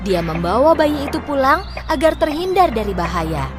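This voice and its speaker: A woman tells a children's story in a fairly high voice, with very animated intonation. Her phrasing is clear and expressive, and music plays behind her. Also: moderate pace. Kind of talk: scripted children's story narration.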